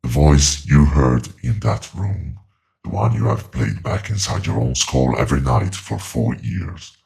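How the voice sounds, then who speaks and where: Ancient otherworldly male entity, horror audio drama. Extremely low, gravelly, solemn and relentless. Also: lively intonation, a little mellow, heavily acted. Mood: relentless, accusing. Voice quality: very deep, gravelly creaking voice. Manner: slow, deliberate, ominous pronouncements.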